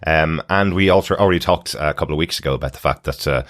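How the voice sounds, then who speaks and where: A man's voice: rumbling low, fast talker, a bit bright, no room sound, clean studio sound. A man hosting a podcast, casually talking through entertainment news in an explanatory way with hesitant phrasing. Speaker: podcast host.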